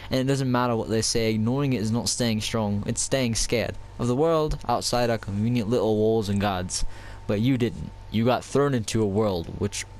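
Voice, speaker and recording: A man voicing a quiet, nostalgic former soldier while reading a story aloud. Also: mid-range voice, natural voice. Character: soft-spoken male ex-soldier, nostalgic.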